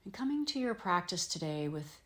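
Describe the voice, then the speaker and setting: A woman teaching yoga nidra, leading a guided meditation in gentle, soothing phrases with frequent pauses. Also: fairly low pitch, big pitch swings. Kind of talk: guided meditation, slow instruction with long pauses.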